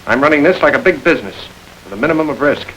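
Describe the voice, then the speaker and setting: A suave gentleman crook from an old crime film, talking quickly with dry, urbane wit. He gives orders smoothly, never raising his voice much, over a faint tape hiss. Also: on the high side, animated, rough recording. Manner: urbane, wry and smoothly commanding. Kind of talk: 1930s film crime drama.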